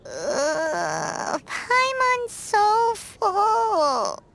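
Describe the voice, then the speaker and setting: A woman voicing a tiny, cheeky, childlike fairy companion with a squeaky voice in an anime game. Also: huge pitch swings, extremely slow, creaky, fairly bright tone, slight background noise, heavily acted. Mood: stuffed, groaning. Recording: game music behind the voice.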